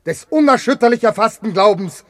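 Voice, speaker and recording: Casting: betrayed noble husband, fairly high voice, close dry sound, declaiming anguished classical verse for radio. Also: male voice, animated, a little fast, dry recording. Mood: solemn, shaken. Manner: declamatory, impassioned stage diction. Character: wronged, despairing Theban general and betrayed husband.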